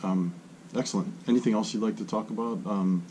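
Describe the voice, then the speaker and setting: A man's voice: fairly low pitch, steady tone, brisk, dark tone, slight background noise, rough recording. A man conducting an interview, asking questions hesitantly with many fillers. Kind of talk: casual interview.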